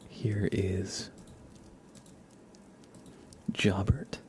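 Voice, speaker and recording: A man hosting an ASMR livestream, chatting casually and haltingly in a soft, hushed voice that drifts into whispering. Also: low voice. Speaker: livestream host. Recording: steady background noise with keyboard typing.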